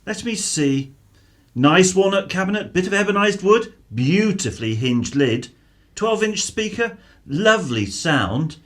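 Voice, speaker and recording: A man's voice: high voice, lively intonation, measured pace, a bit bright. An actor playing a suspicious, sarcastic middle-aged British husband and father in a radio drama. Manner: sneering, mock-salesman sarcasm.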